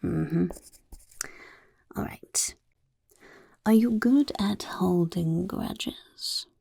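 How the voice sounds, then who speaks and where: A woman voicing a sly, self-important goblin overlord who interviews recruits in soft-spoken ASMR roleplay, whispering and talking about itself in the third person. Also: fairly low pitch, extremely slow, dry recording, full character voice. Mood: scheming. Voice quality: gravelly.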